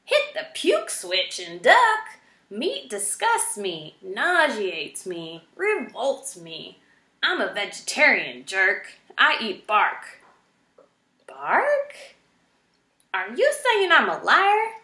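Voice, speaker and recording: A crotchety old male porcupine from a children's storybook, acted out by a woman reader, blustering and indignant. The voice is forceful and jumps up and down in pitch, recorded in a roomy space. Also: wildly swooping pitch, slow, exaggerated voice. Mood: indignant, roaring. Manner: bellowing, grumbling, indignant.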